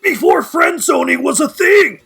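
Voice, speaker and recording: Man as excitable young male friend, audio drama, high gravelly voice, heavy fry, theatrical, nosy, incredulous. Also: measured pace, faint music behind, exaggerated voice. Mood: shocked, incredulous. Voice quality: gravelly, raspy. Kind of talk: amateur audio drama acting.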